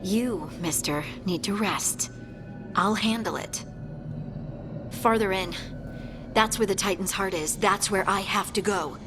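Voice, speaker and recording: A determined young dwarf woman from a fantasy game as a woman acts her. She is earnest and plain-spoken in a medium register, with music clearly audible underneath. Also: medium pitch, slow, pauses often, natural voice. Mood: resolute. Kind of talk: video game voice acting.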